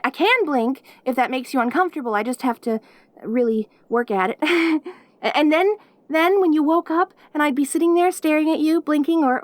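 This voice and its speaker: A very high, girlish demon played by a woman, clingy and devoted in a yandere way. She speaks eagerly, tripping over her words, close and dry as if alone with the listener in an audio roleplay. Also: no echo. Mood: eager, doting. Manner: eager, stammering, giggly, devoted. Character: perky, lonely, clingy yandere demon girl, centuries old.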